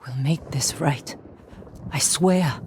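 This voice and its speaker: A woman's voice: sits low, takes it slowly, a little mellow, slight background noise. A woman playing a tough, driven thief in a video game, with a terse and earnest delivery. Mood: grave resolve. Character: tough, driven female thief carrying guilt. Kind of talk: dramatic video game acting.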